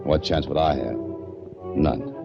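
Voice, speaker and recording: An actor playing a tough, wry, framed hero who speaks tersely in a 1940s radio mystery. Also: male voice, rumbling low, unhurried, over music. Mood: tense narrating. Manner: terse, hard-boiled, urgent in dialogue. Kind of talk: 1940s radio mystery acting and first-person narration. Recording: dull vintage 1940s radio recording.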